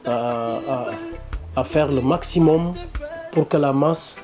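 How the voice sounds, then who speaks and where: A man with a Senegalese accent gives a spontaneous interview as a musician. His voice sits at a middle pitch, and music plays clearly behind him. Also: slow pace.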